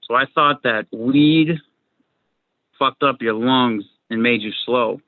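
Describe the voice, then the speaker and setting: A man's voice: mid-range voice, slow pace, dry recording. A young man from Massachusetts with a Massachusetts accent, chatting casually as a guest over a video call, rambling and repeating his words. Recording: dry tinny video call audio.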